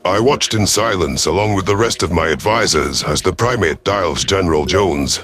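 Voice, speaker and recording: A man's voice: deep voice, normal speed, a bit bright, faint music behind. A man reading a first-person science fiction story as dramatic scripted narration, in the part of a grave alien commander. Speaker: narrator of a sci-fi audio story.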